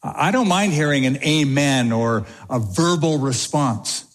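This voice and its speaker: A man preaches from a pulpit in a slow, deliberate cadence, his voice sitting a little high. He drives each point home by repeating it. The sound rings with the long reverb of a large church sanctuary. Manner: emphatic, repeats key phrases. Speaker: pastor. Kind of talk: expository sermon.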